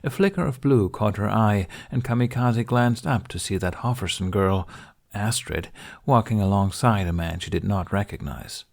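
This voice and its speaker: A man reads a storybook aloud in a fairly low voice with a noticeable gravelly fry. His delivery is scripted and measured, with expressive stress on chosen words. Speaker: audiobook narrator.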